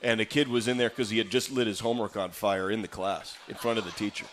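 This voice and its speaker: Low-pitched male co-host. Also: steady tone. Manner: short backchannel replies and quick asides.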